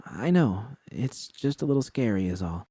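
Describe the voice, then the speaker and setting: A man's voice: fairly low pitch, big pitch swings, normal speed, mellow, no echo. A man voicing an anxious young woman in a story, worried and hesitant as she seeks reassurance.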